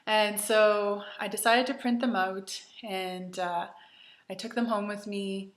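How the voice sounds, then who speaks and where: A woman's voice: medium pitch, fairly even intonation, slow, echoey room. A woman in her thirties from Canada, chatty and earnest, with many ums, telling her personal story to camera as host of a retreat.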